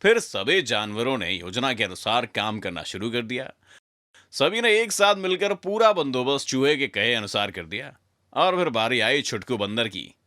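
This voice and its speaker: Bright, animated male storyteller. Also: mid-range voice, moderate pace, no room sound, clean studio sound. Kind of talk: scripted children's story narration.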